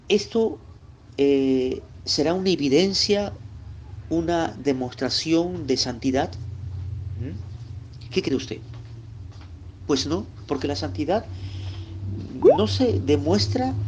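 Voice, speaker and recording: A man's voice: a little high, noisy background. A man from Lima, Peru, with a Peruvian accent, teaching a recorded Bible lesson in a conversational way. He speaks straight to the listener and often checks in with short tag questions. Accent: Peruvian Spanish, Lima.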